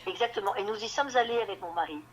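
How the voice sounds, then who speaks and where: A woman novelist being interviewed on radio, talking in a halting way and often repeating words while she looks for them. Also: medium pitch, dull audio, a little hiss or hum. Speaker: novelist guest.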